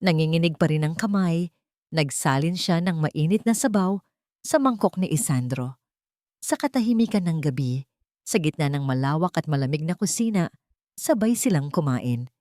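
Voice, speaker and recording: Low-pitched woman narrating a dramatic story. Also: expressive, normal speed, darkish tone, dry recording, studio quality.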